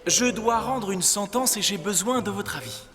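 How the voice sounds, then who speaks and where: A man's voice: high voice, normal speed, natural voice. A man playing an earnest young royal in a dubbed fairy-tale cartoon, speaking in a formal, courtly way. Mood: solemn, resolute. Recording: long reverb, music behind.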